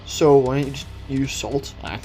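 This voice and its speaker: A man's voice: medium pitch, steady tone, moderate pace, creaky, darkish tone, husky, low-quality recording. A man hosting a video, giving opinionated, conversational commentary in a casual explainer style. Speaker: video host. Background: background music.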